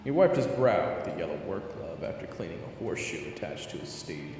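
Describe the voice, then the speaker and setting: A man's voice: sits low, big pitch swings, fairly quick, a little mellow, slight background noise. A man narrating a scripted audio story in the second person, as a storyteller. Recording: echoey long reverb, distant, music behind.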